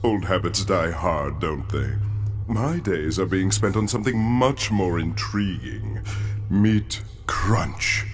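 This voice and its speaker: A pompous mad scientist from a video game, fairly low and very animated. He sneers theatrically and is thoroughly pleased with himself, over music. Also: slow. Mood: smug gloating. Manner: theatrical, sneering, self-satisfied. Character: pompous, scheming male mad scientist villain. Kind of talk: video game cutscene acting.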